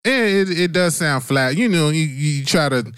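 A man's voice: fairly high pitch, animated, normal speed, a bit bright, smooth, no echo, studio quality. A man hosting a radio show, interviewing in an informal, slangy way with chummy address. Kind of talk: casual conversational interview.

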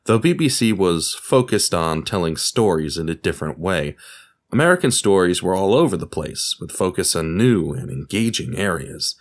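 Low-voiced man hosting with lively intonation. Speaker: podcast host. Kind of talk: scripted narration. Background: music.

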